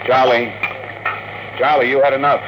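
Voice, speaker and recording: An actor playing a gruff, down-on-his-luck rocket captain in an old-time radio drama. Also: mid-range voice, flat delivery, normal speed, lightly acted. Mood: defensive. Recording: noisy old radio recording.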